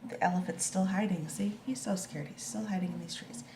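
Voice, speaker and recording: A woman's voice: fairly low pitch, brisk. Woman doing story time for kids, explains stuff simply, roomy echo, noise behind her. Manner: simple, child-directed explaining. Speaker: storyteller reading a picture book to children. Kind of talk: read-aloud with informal explanations. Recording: echoey room with clear background noise.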